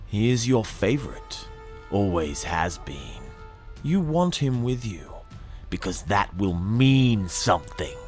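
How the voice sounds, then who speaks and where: A man giving a dramatic audiobook-style reading of fiction in the second person, brooding and theatrical, with deliberate phrasing. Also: fairly low pitch, big pitch swings. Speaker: narrator reading a fiction excerpt. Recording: voice over background music. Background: dramatic music.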